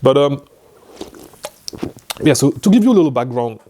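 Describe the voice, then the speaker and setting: A young man from Belgium speaks English with a Belgian French accent, his first language being French. He freestyles to camera in a laid-back vlog, his voice sitting at an ordinary middle pitch. He rambles, restarts sentences and fills pauses with "uh" and "um". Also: lively intonation, warm tone, slight background noise. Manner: rambling, restarts and fillers, swears casually. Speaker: online business coach. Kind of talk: casual freestyle talk to camera.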